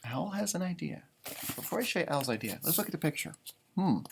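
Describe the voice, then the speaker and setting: High-voiced, very animated man reading to children. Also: fairly quick, gravelly, slight background noise. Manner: expressive, exaggerated, chatty asides. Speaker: elementary music teacher reading aloud. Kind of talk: animated children's storybook read-aloud with commentary.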